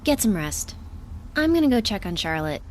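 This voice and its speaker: A woman's voice: mid-range voice, sing-song, moderate pace, darkish tone, slight background noise, close to an everyday voice. A woman playing a caring, exasperated young shipmate who tends to the injured in an audio drama, earnest and fussing with dry humor. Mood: reassuring.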